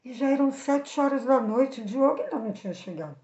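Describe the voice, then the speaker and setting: Contadora de histórias brasileira, voz aguda com eco. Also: female voice, high voice, expressive, a little fast, darkish tone. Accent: Brazilian. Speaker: children's storyteller and channel host. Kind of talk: expressive narration of a moral story for children. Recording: echoey room, slightly dull sound.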